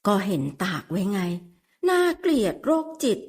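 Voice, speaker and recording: A woman's voice: medium pitch, big pitch swings, moderate pace, darkish tone, breathy edge. A woman voicing a shy, flustered young woman who scolds in a raised voice. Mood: indignant.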